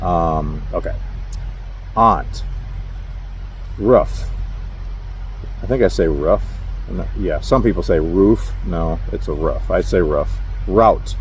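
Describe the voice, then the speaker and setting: A man's voice: deep voice, slow pace, pauses often, slight background noise, rough recording. A middle-aged man from Minnesota with a Minnesotan accent, talking casually to camera from his parked truck at a rest area, rambling and chuckling at himself. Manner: rambling, self-deprecating, chuckles often. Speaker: truck driver vlogger.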